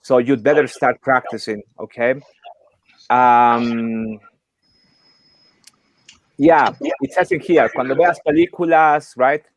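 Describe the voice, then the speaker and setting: A man's voice: medium pitch, slow pace, pauses often, dry recording. Man coaching English learners, dry sound. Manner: encouraging, often checks with "okay?" and "right?". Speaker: English exam coach, workshop presenter. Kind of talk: informal online lesson. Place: online video call.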